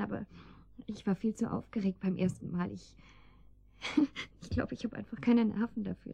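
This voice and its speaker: A mellow, dark-toned housewife in a radio drama. She is anxious and naive, with a halting, defensive delivery. The sound is very dry and intimate. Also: mid-range voice, vocal fry, breathy edge, no room sound. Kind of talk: German radio drama acting.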